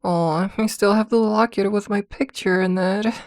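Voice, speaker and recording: A woman playing a possessive, protective witch girlfriend in an intimate ASMR roleplay, doting yet jealous, with hesitant pauses. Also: medium pitch, steady tone, a little mellow, dry recording, clean studio sound, natural voice. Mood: concerned, questioning.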